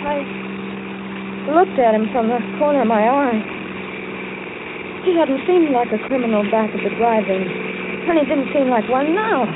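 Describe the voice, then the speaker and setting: A woman's voice: high voice, lively intonation, moderate pace, pauses often. An actress playing a frightened captive woman, earnest and dramatic, in an old-time radio thriller. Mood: anxious recounting. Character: frightened captive woman, pleading and narrating her ordeal. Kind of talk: old-time radio thriller acting, first-person narration. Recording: noisy, tinny old radio recording.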